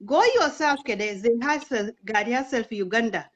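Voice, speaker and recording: Woman, 30s, raised in Kenya; earnest, persuasive interview guest, slightly higher voice, dull lo-fi sound. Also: moderate pace. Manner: earnest, persuasive, asks rhetorical questions. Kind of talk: impassioned political talk.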